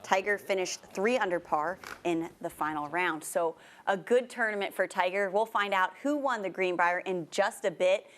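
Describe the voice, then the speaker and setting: A woman's voice: mid-range voice, moderate pace, few pauses. A woman co-anchoring a television sports segment, reading scripted news with some light banter.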